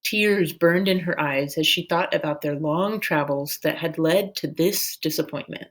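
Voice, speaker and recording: Slow, low-pitched woman reading a story aloud. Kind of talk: narrated children's novel read aloud.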